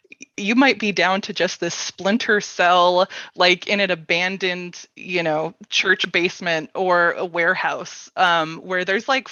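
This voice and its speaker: A woman hosting a casual panel interview, informal and halting, with lots of "like" and "you know". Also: fairly low pitch, bright, forceful tone. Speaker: panel host. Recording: dull, lo-fi, roomy sound.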